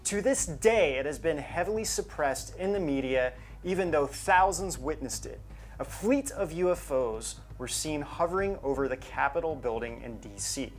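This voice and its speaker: A man's voice: fairly high pitch, animated, normal speed, soft background music. A man co-hosting a show, narrating scripted material in an emphatic, conversational storytelling way. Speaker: show co-host.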